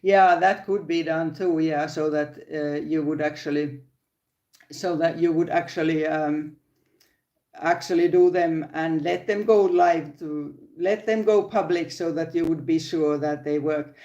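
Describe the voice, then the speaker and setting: Older Finnish-accented woman, halting live chat. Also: low-pitched, fairly even intonation, mellow, reverberant, muffled recording. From Finland. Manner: halting, restarts sentences, laughs often. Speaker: crochet channel host. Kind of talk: casual live-stream chat. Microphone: phone.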